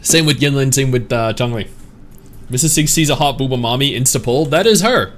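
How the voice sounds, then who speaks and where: A man's voice: mid-range voice, expressive, a little fast. A man streaming, chatting casually and opinionated as he reads and answers his live chat.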